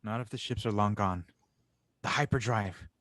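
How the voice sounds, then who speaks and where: A man's voice: on the low side, normal speed, a bit bright, no room sound, natural voice. Man playing an urgent, dry-sounding space crewman. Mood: determined. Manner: urgent, insistent. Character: urgent, quick-thinking male sci-fi crewman. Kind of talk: audio drama table read.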